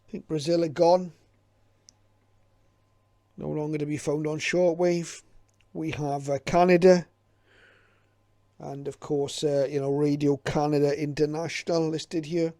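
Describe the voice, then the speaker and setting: Even-toned mellow man, casually presenting. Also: a little high, no echo, studio quality. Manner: hesitant, reflective, informative. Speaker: hobbyist presenter. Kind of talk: casual unscripted commentary while leafing through a guide.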